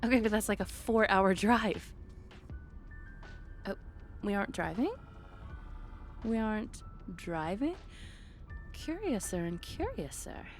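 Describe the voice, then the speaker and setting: A woman's voice: medium pitch, very animated, takes it slowly, long pauses, long reverb tail, soft background music. A woman playing a flirty, playfully sarcastic date companion in a one-sided ASMR roleplay, with dry, hesitant asides. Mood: curious, puzzled.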